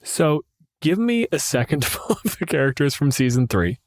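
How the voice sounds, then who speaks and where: A man's voice: on the high side, big pitch swings, normal speed, no room sound, studio quality. A man co-hosting a podcast in casual chat, explaining things in a wry, sweary way. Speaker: podcast co-host and gamemaster.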